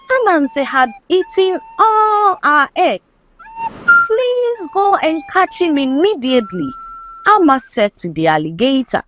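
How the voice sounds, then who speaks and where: A woman reading a folktale aloud as a storyteller, in a measured, expressive storybook delivery. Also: high voice. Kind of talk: scripted folktale narration read aloud.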